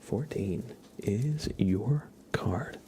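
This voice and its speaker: A man hosting an ASMR livestream, giving card readings in a gentle, halting way as he thinks aloud. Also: on the low side, expressive, background noise. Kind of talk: whispered ASMR, casual tarot card reading.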